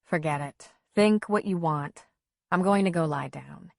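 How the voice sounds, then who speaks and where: A woman's voice: lowish voice, unhurried, creaky, a little mellow, no echo. A woman narrator acts out a pregnant mother under attack in an audiobook drama. She is emotional and pleading, defiant yet frightened, in a dry, close sound. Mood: defensive.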